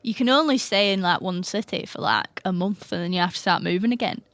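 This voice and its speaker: A woman playing a creepy, defensive, bony little male dentist in improvised comedy, halting and wheedling as he repeats himself. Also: mid-range voice, fairly bright tone, dry recording, close to an everyday voice. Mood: earnest, insistent. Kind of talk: improvised comedy role-play.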